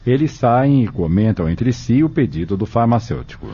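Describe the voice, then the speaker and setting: A man's voice: mid-range voice, expressive, normal speed, mellow, breathy edge. A Brazilian man narrating an audio drama from a script. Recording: dull lo-fi audio with music behind. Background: music.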